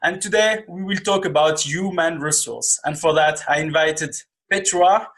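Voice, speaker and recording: Male podcast host, thirties, slightly high voice, hesitant conversational interviewing. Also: moderate pace, fairly bright tone. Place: small indoor room, video call.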